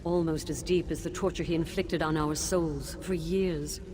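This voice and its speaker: Fantasy game ghost, played by a woman: wronged wife and teacher, grieving, lowish female voice, theatrical gravity, noisy backdrop. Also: fairly even intonation, normal speed, natural voice. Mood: solemn, sorrowful. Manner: mournful, solemn, dramatic. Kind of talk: fantasy video game voice acting.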